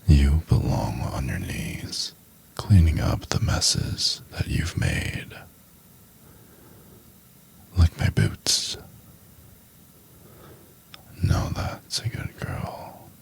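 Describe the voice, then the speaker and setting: Man as domineering male executive, rock-bottom pitch, heavy vocal fry, husky, contemptuous, intimate ASMR roleplay. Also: expressive, heavily acted. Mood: commanding, demeaning. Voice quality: husky, gravelly. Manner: condescending, deliberate, breathy half-whispered delivery.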